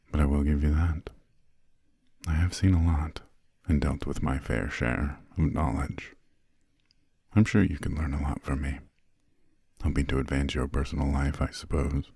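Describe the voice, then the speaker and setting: A man playing an imperious, centuries-old vampire lord in an ASMR roleplay, courteous but condescending, with formal, measured, soft speech and a breathy, gravelly voice close to the microphone. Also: rumbling low, dry recording, heavily acted. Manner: slow, measured, formal, haughty, drawn-out pauses. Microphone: close, dry studio microphone.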